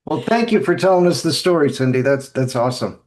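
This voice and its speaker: A man's voice: medium pitch, lively intonation, a little fast, a little mellow. A man hosting a casual group chat in a friendly, appreciative way. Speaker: podcast host.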